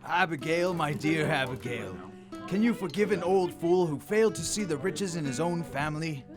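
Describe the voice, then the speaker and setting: A man playing a reformed old miser in an audio drama, giddy, warm and exclamatory, often repeating himself. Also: on the high side, normal speed, lightly acted. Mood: humble, affectionate. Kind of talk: theatrical audio drama acting. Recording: music playing behind the voice.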